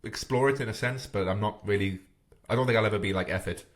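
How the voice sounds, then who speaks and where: A man in his thirties hosts a podcast in a low, easy voice. He thinks aloud, pausing on "uh" and feeling his way through each sentence. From Wales. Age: 30s. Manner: thoughtful, hesitant, many "uh"s. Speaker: podcast host. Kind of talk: casual podcast interview.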